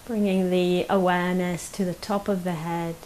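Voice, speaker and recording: A woman leading a guided meditation as a teacher, speaking gently and unhurriedly with long pauses. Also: lowish voice, level tone, low-quality recording. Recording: faint hiss behind the voice.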